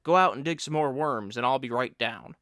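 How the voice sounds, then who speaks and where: A man voicing a lazy, joking friend who teases and mock-lectures in a loud, raised voice. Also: mid-range voice, a little fast, dry recording, clean studio sound, close to an everyday voice. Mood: teasing.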